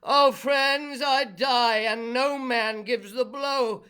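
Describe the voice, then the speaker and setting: A man voicing a savage one-eyed giant in a read-aloud epic tale, speaking slowly and loudly. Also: very high-pitched, fairly even intonation, smooth, voice pushed up, full character voice. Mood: anguished.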